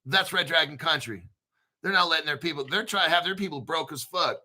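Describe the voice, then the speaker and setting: Bright-voiced man hosting a crypto show. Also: on the high side, expressive, a little fast. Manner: conspiratorial, spells out names in letters, swears casually. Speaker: crypto channel host. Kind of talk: casual solo commentary.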